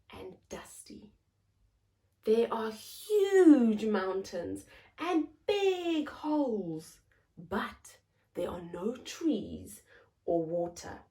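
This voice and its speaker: A woman's voice: medium pitch, warm tone. A woman hosting a story time, reading aloud expressively to young children in simple phrases and putting questions to them.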